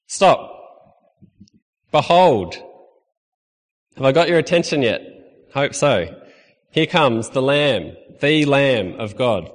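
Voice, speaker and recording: A young Australian man preaching to a congregation in a relaxed, friendly way, with a few gentle jokes. His voice sits at a mid pitch. It rings out with a long reverb from a church hall. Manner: friendly, conversational preaching with light humor. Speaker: lay preacher. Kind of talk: sermon.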